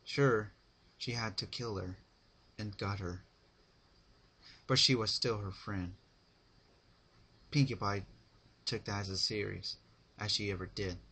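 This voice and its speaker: A man's voice: lowish voice, fairly even intonation, slow, long pauses, bright, forceful tone, breathy edge, rough recording. A man narrating a dramatic reading of fan fiction, with some faint noise in the recording.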